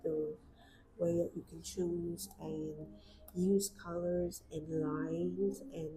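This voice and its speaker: Soft-spoken Vietnamese woman streaming a drawing. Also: on the low side, steady tone, takes it slowly, over music, low-quality recording. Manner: soft-spoken, thinking aloud with many fillers. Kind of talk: casual chat while drawing. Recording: clear background street noise. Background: outside street noise.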